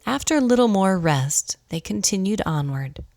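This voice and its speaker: A woman's voice: medium pitch, sing-song, moderate pace, darkish tone. A woman narrating a radio drama in a scripted storybook style.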